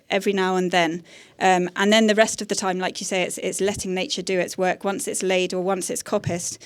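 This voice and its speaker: A woman answering audience questions in a seminar, hesitant with many fillers. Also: mid-range voice. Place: seminar room.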